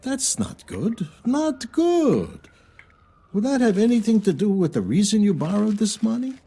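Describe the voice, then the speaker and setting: A man's voice: sits high, sing-song, dark tone, breathy edge, exaggerated voice. A man playing a sly, smug loan shark in a video game cutscene, insinuating and falsely courteous. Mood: mock-polite, probing. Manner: unhurried, insinuating, mock-polite.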